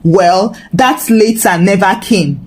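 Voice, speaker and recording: A woman with a Nigerian accent narrating a scripted folktale in an expressive, humorously dramatic way. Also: mid-range voice, slow. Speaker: storyteller, narrator.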